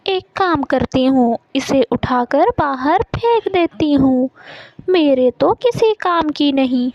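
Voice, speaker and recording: A woman's voice: high voice, animated, takes it slowly, no echo, muffled recording, voice pushed up, heavily acted. A woman voicing a haughty, irritable female crow in a cartoon, scornful and complaining. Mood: annoyed, dismissive. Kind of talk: Hindi cartoon character voice.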